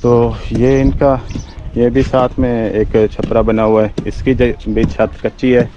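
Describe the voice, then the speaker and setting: A man's voice: medium pitch, flat delivery, slow pace, muffled recording. Man, vlog host, friendly questions, outdoors, handheld camera, background noise. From Pakistan. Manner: friendly, asks short probing questions. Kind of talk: walking tour with questions. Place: outdoors in a desert village. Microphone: camera held by the speaker.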